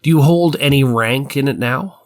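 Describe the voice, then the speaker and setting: A man's voice: mid-range voice, normal speed, natural voice. A man reading the part of a methodical prosecutor questioning witnesses in a dramatized 1890s trial. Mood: formal, methodical.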